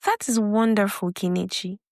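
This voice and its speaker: A woman's voice: mid-range voice, fairly even intonation, normal speed, a little mellow, no room sound, studio quality, natural voice. A woman voicing a trusting, stubborn bride in love, speaking earnestly and emphatically.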